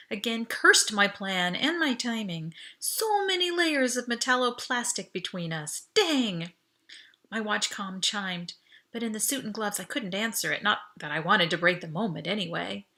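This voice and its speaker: A woman reading an audiobook aloud in expressive first-person story narration. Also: mid-range voice, moderate pace.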